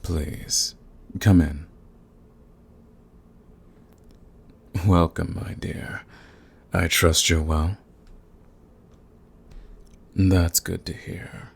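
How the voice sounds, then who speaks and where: Guy doing a suave sadistic vampire for asmr roleplay, really slow and gravelly, purring, polite but menacing. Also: sits low, little pitch movement, full character voice. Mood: courteous, menacing. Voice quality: gravelly, husky. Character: suave, sadistic, seductive male vampire lord. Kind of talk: ASMR roleplay, scripted monologue to the listener.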